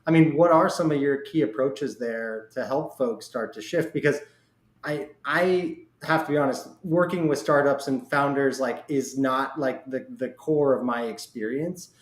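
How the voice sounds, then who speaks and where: A man's voice: medium pitch, a little slow. A man hosting a conversational interview, feeling his way tentatively into long questions. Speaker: podcast host, interviewer. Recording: echoey, reverberant room sound.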